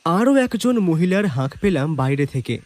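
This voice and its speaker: Man as higher-voiced, expressive storyteller. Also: normal speed, no echo. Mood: reflective, atmospheric. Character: expressive storyteller, the detective's male companion. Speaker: narrator. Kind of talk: dramatised scripted story narration.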